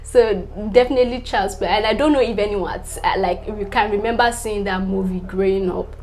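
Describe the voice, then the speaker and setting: A woman's voice: medium pitch, a little fast, darkish tone, a little hiss or hum. A young Nigerian woman whose first language is Yoruba, chatting casually to camera as a vlogger, full of fillers, repeating "definitely" and laughing between answers.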